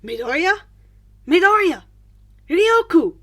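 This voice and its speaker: A woman's voice: extremely high pitch, big pitch swings, slow pace, fairly bright tone, voice pushed up, heavily acted. A woman voicing a friendly, concerned teenage boy classmate in a storybook reading. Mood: urgent hissing.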